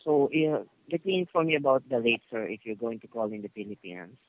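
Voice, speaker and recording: A man's voice: mid-range voice, animated, brisk, dry recording. A man working as a telephone service agent, polite and formal, following a customer service script on a call. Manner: polite, formal, constantly says sir. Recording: muffled phone call with slight noise.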